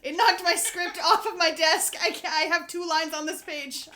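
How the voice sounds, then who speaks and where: A young woman hosting a casual group chat in an animated, jokey, sweary way. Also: extremely high pitch, normal speed. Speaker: podcast host and director. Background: background music.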